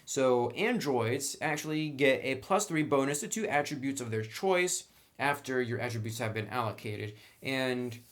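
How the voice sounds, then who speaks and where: A man's voice: medium pitch, lively intonation, moderate pace. Casual man reviewing tabletop games. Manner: informal, wry sarcastic asides. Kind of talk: semi-scripted review narration with casual asides.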